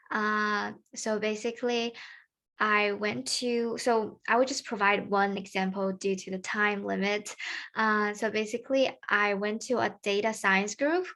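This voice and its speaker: A teenage girl from China talks to her peers in English, with a Mandarin Chinese accent. She pauses often, fills gaps with "uh" and "like", and restarts her sentences. Her voice sits at a middle pitch for a woman. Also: fairly bright tone. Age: teens. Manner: casual, halting, many fillers and restarts. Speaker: student presenter. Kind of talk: recorded presentation to peers.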